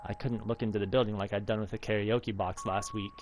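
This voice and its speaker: A man's voice: fairly low pitch, level tone, fast. A man in his thirties, a streamer reading a visual novel's story text aloud as narration. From United States, Alaska. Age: 30s. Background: faint game music.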